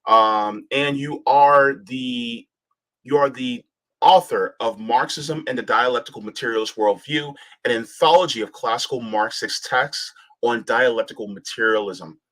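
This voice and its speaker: A man's voice: mid-range voice, unhurried, fairly bright tone. A man hosting an independent podcast, introducing his guest and asking questions in a friendly, eager way. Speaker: podcast host. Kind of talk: casual interview.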